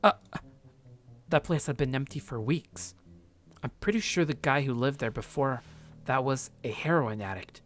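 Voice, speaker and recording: A man's voice: medium pitch, normal speed, natural voice. A man playing a paranoid, sardonic young man narrating his journal in a visual novel, curt and brooding. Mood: tense narration.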